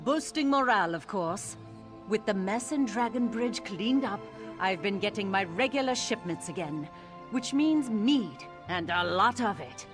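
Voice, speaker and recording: A woman's voice: medium pitch, expressive, unhurried, music in the background, lightly acted. A woman voicing a wry tavern keeper. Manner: wry, teasing.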